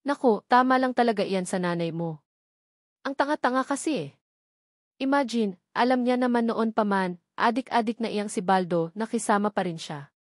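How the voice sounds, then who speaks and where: A woman's voice: fairly high pitch, moderate pace, no echo, clean studio sound, close to an everyday voice. A woman voicing a gossipy, blunt but caring older housemaid in a novel, in chatty colloquial speech. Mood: gossipy, scornful.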